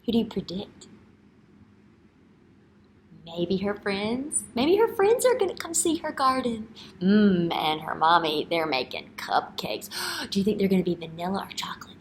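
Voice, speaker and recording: A woman in her thirties, an elementary teacher reading a picture book aloud and putting questions to young children in an expressive way. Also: medium pitch, moderate pace. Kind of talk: children's read-aloud and retelling lesson. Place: indoor classroom. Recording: clear background room noise.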